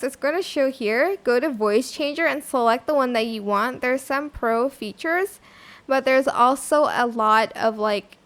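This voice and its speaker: Woman, very high voice, tutorial host, informal conversational delivery, casual how-to narration. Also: a bit bright.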